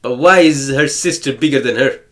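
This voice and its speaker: A man commenting casually on a game, joking and reacting with quick asides and stammers. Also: mid-range voice. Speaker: let's play host.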